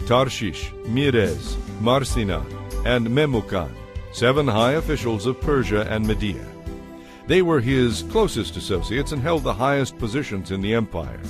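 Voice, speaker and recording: A man's voice: mid-range voice, expressive, a little slow. A man narrating a dramatized audio Bible in a scripted, storytelling style. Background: music.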